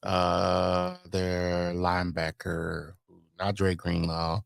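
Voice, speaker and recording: A man's voice: low voice, monotone, drawn-out words, gravelly, no room sound, clean studio sound. An American man co-hosting a sports podcast, talking casually and emphatically about football with strong opinions, repeating phrases to drive them home.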